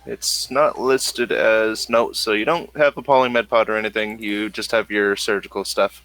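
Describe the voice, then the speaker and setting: A man with a bright, forward voice runs a tabletop roleplaying session. He narrates casually and haltingly as game master. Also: fairly low pitch, fairly even intonation, no room sound.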